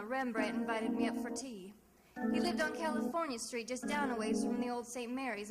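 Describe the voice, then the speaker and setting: A woman's voice: fairly high pitch, natural voice. An actress playing a breezy, shrewd female private eye in old-time radio detective drama, wry, glib and teasing. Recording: vintage radio drama recording with music.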